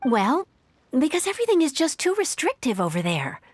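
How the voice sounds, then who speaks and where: A woman's voice: high-pitched, wildly swooping pitch, brisk, dry recording. A woman voicing an earnest young woman who escaped her strict homeland, in a video game. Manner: earnest, slightly awkward storyteller. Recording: game audio with soft background music.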